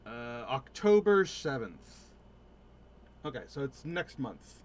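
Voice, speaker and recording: A man's voice: medium pitch, big pitch swings, slow. A man chatting casually on a livestream while he plays a game. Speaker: game streamer.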